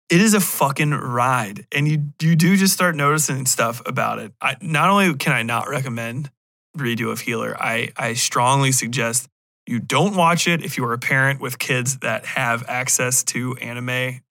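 A man's voice: mid-range voice, no echo. A man hosting a podcast, talking in a casual, rambling way with blunt, irreverent swearing and joking asides. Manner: animated joking asides, acting out imagined remarks. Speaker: podcast host. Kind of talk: casual rambling review talk.

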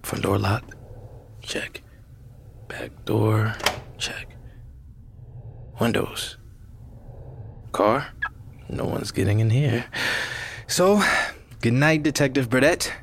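An actor playing an ordinary, rattled and disbelieving husband in an audio drama, with a hesitant, conversational delivery. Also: male voice, mid-range voice, slow pace, creaky, pauses often, background noise. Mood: wry, cautious. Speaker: actor in a fiction podcast. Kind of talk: naturalistic audio drama acting.

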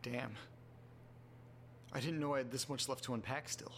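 A man playing a muddled, self-deprecating guy muttering sweary, halting asides to himself in a horror audio drama. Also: medium pitch, a bit bright, lightly acted. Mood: weary, self-berating. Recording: noticeable background noise with hum.

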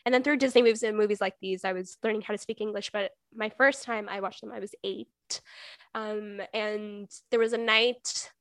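Gravelly-voiced young woman, chatty. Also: medium pitch, fairly even intonation, moderate pace, a bit bright, no room sound. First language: Punjabi. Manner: chatty, reflective, lots of "like" and "right?". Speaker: guest. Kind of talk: casual podcast chat.

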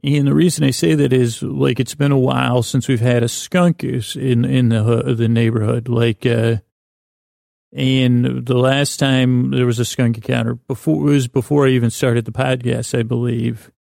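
Gravelly, meandering middle-aged man. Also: medium pitch, little pitch movement, normal speed, darkish tone, dry recording, clean studio sound. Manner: meandering, hesitant, trailing off mid-thought. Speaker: podcast host. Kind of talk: rambling sleep podcast monologue.

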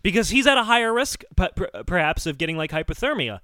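Animated high-pitched man, bright and forceful. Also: quick pace, dry recording, clean studio sound. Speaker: comedy podcast co-host. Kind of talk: casual comedic banter about a song.

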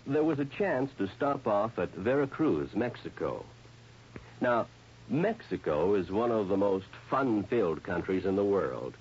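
A man's voice: fairly low pitch, husky. A man reading scripted patriotic narration as an old-time radio announcer. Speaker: armed forces radio announcer. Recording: dull vintage radio recording.

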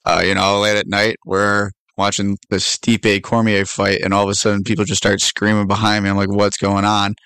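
A young man co-hosting on radio, telling a story in a relaxed, rambling way full of fillers. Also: lowish voice, normal speed, hardly any pauses, smooth, no room sound. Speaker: radio co-host. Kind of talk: casual storytelling.

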